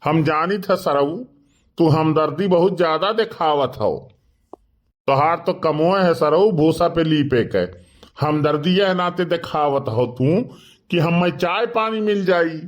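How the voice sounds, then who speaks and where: Grumpy Awadhi village man, slow and scolding, acted by a man. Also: on the high side, animated. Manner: scolding, sarcastic. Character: grumpy, insulting village man, quick to quarrel. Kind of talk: animated comedy dialogue.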